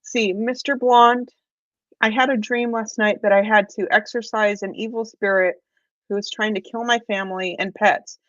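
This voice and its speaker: A woman with a middle-pitched voice, speaking casually as a co-host. The recording is close and dry, with a slightly muffled, lo-fi sound. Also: fairly even intonation, normal speed, dry recording. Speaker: co-host reading out member questions. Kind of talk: casual chat.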